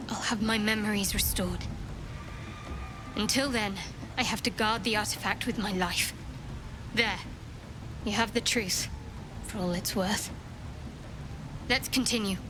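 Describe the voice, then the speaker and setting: A woman playing a guarded, secretive young cleric in a video game, speaking in a reserved, measured, dryly wry way. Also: mid-range voice, fairly even intonation, background noise, natural voice. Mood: grave, confiding. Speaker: video game companion character. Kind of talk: dramatic game dialogue.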